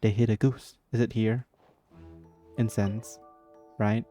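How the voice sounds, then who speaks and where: A man's voice: on the low side, flat delivery, slow pace, dark tone, dull audio. A man giving casual, reactive commentary while playing through a game, often in short murmured remarks. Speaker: gamer recording a playthrough. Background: game music.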